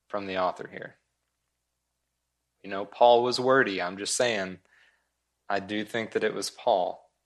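Slow-paced, low-voiced male preacher. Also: a bit bright. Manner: deliberate, with emphatic pauses and repeated key words. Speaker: pastor teaching a Bible study. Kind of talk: expository sermon with scripture reading.

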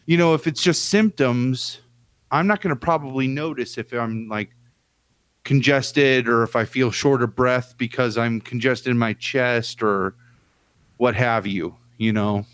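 A man in relaxed podcast talk with a mid-range voice. He is emphatic and loose, swears casually and leans on fillers. The recording is dry and up close. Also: mid-range voice, measured pace, clear, resonant tone, no echo. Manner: emphatic, swears casually, hedges with "like" and "you know". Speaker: podcast co-host. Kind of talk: casual chat.

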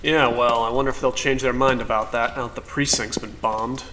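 Man playing a skeptical, halting cop, noisy background. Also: medium pitch, fairly even intonation, bright, forceful tone, natural voice. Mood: wry, reluctant. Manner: halting, stammering, dismissive. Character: skeptical, defensive male police officer in cyberpunk future. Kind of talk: naturalistic audio drama acting.

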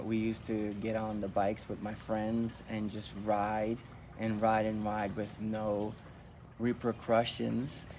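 Middle-aged father, low monotone voice, hesitant recollection, faint room noise behind. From Philadelphia and Puerto Rico. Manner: hesitant, with many ums and restarts. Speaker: interviewee, father. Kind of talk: personal storytelling.

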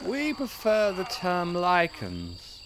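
Man playing pompous lodge master, grandiose werewolf leader, slow and highly animated, comic horror radio play, night insects behind. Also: exaggerated voice. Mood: proud, solemn. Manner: grandiose, with dry comic asides. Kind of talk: comic horror audio drama acting. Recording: night insect ambience, clear noise.

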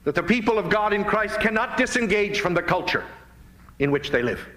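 Middle aged american guy preaching, kinda higher voice, very solemn n dramatic storytelling, echoey room. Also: lively intonation, a bit bright. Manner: solemn, emphatic, deliberate phrasing with rhetorical build. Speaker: preacher giving a speech. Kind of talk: dramatic oratorical sermon with storytelling. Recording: roomy, echoing live speech recording.

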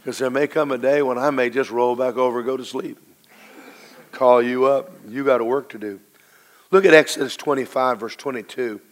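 A middle-aged man with a low, hoarse voice, preaching a sermon to his congregation with emphatic, slow, drawn-out stresses. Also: fairly even intonation. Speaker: pastor.

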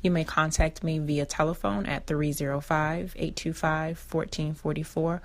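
A woman with a low, gravelly voice hosts a radio finance show, her voice a little hoarse and strained. She walks the listener through each step, coming back to her main points again and again. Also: fairly even intonation, normal speed. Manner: instructive, repeats key points. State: slightly hoarse. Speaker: financial advice show host. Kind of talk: radio advice talk.

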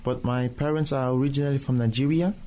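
Teenage boy, native Yoruba speaker, warm mellow voice, friendly intro. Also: mid-range voice, fairly even intonation, quick pace, slight background noise. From Germany. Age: teens. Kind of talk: self-introduction.

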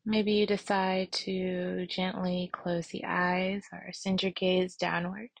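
A woman meditation instructor speaks in a soft, breathy voice with a gravelly creak at the edges. She moves very slowly and soothingly through guidance and prayer, leaving long pauses, in a dry, close recording. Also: on the low side, little pitch movement, no room sound.

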